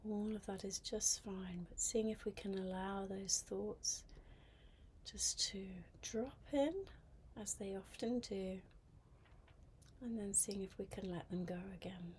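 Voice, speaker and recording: Slow, softly whispery woman guiding meditation. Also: mid-range voice, steady tone, slight background noise. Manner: soft, breathy, half-whispered delivery; gentle, unhurried, with long pauses. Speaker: meditation guide. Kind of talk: guided mindfulness meditation.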